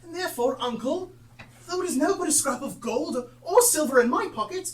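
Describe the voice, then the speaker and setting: A woman acts a warm-hearted young nephew in a stage play, earnest and good-humoured. The pitch is high and swings widely, heard from a distance with some noise. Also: lively intonation, brisk. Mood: earnest, heartfelt. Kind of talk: theatrical stage acting. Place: theatre stage, live performance.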